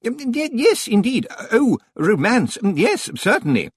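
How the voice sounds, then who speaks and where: A man puts on a fussy male senior librarian for a storybook. The voice sits high and wobbles widely in pitch. He is timid and formal, stammering politely as he goes. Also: very animated, measured pace, breathy edge, no echo, heavily acted. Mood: flustered, polite.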